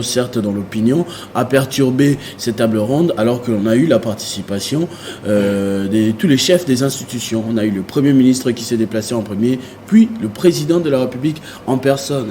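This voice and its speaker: A Congolese man hosts a political panel in a medium-pitched, relaxed and warm-toned voice. He moves through questions haltingly, hesitating mid-phrase. There is light noise on the recording. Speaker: talk show host. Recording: faint noise on studio recording.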